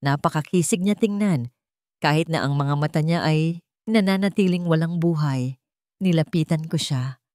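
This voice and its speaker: A woman with a Filipino accent narrates a dramatic audiobook. Her voice sits on the low side for a woman, and the sound is dry and close. She reads in the first person as a scripted story. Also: moderate pace, darkish tone, no echo.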